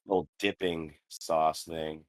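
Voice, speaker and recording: A man's voice: a bass voice, little pitch movement, takes it slowly, no echo. A man chatting casually as a participant in a film commentary. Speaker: cast member on commentary track.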